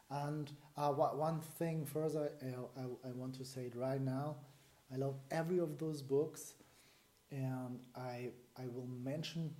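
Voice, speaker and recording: A man's voice: medium pitch, mellow. A man in his forties with a German accent, a breathing coach explaining a method to camera in a small room, thinking aloud with restarts and fillers. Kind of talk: explanatory video lesson to camera. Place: small indoor room at home.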